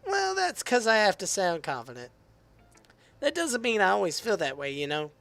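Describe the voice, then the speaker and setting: A hoarse man reading the lines of a poised teenage girl who leads a literature club in a visual novel. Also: high-pitched, huge pitch swings, moderate pace, fairly bright tone, dry recording, full character voice. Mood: candid. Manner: reads visual novel dialogue aloud.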